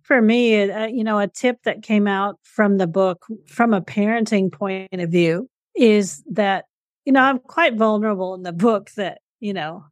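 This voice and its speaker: A middle-aged mother talking earnestly as an interview guest, sprinkling her speech with little fillers. Her voice is at a normal middle pitch. The recording is close and dry. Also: moderate pace, no room sound. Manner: earnest, frequent "you know" fillers. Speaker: guest co-author, parent. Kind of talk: casual interview chat.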